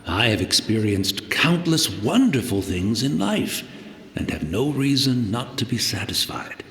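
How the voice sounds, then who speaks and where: Frail, rambling old servant, swooping pitch. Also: male voice, very animated, a little slow, fairly bright tone, echoey room. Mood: wistful, resigned. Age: older. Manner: courteous, wistful, rambling, old-fashioned formal speech. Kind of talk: video game voice acting.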